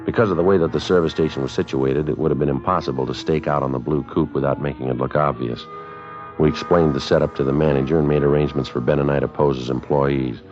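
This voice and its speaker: A dull vintage radio recording carries a terse American police detective with an extremely low voice. He is clipped, deadpan and businesslike, in 1950s crime drama style, with faint music around him. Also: quick pace. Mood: matter-of-fact. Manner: clipped, matter-of-fact, deadpan. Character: terse, businesslike male police sergeant, narrating his case. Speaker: detective and narrator.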